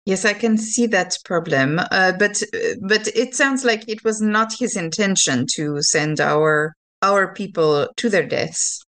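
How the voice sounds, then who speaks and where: A woman playing a polite, formally spoken investigator in a tabletop game, measured but a little hesitant. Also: medium pitch, a bit bright, close to an everyday voice. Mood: reserved doubt. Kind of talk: improvised in-character tabletop dialogue.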